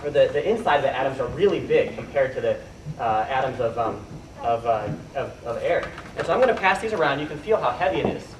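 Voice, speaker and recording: A man's voice: medium pitch, expressive, quick pace. A man giving an informal hands-on science demonstration to a young audience, explaining and asking them questions. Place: indoor room with live audience. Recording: echoey room, distant noisy camera microphone.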